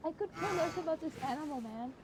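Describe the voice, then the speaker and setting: A woman's voice: high-pitched, expressive, fast, background noise. A young woman chatting casually while playing a game, heard through a poor-quality mic. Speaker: gamer playing and reacting. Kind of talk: casual chat while gaming. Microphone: low-quality gaming voice chat.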